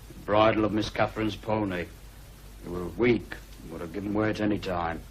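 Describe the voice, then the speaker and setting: A stubborn young farmhand talks bluntly and gruffly, as an actor plays him. His voice sits a little low. It is a radio drama with faint background hiss. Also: steady tone, brisk, close to an everyday voice, poor audio. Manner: blunt, gruff dialect speech.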